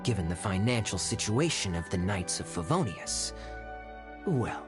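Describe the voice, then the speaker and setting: An actor playing a suave young cavalry captain in a video game, with a smooth, playful, theatrically sly delivery. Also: male voice, sits low, sing-song, measured pace, over music. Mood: teasing. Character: suave, teasing, silver-tongued young cavalry captain, male. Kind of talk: video game character dialogue.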